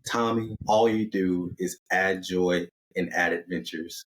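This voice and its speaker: A man acts as an old married woman in a told story. She is contented and deeply affectionate. Her delivery is tender and adoring. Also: steady tone, a little slow, slight background noise, rough recording. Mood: loving.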